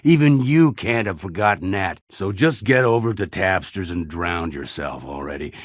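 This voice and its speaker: A man's voice: sits low, expressive, moderate pace, slightly rough, no echo, clean studio sound. A male actor playing a stern, weary dwarf official in a video game, speaking in a scolding, admonishing way. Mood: stern, exasperated. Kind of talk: video game character acting.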